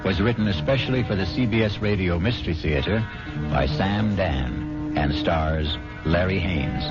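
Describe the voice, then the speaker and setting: A man hosting and narrating a radio mystery, with a theatrical, suspenseful and deliberate scripted delivery. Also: deep voice. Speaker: host and narrator. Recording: dull vintage radio, loud music behind.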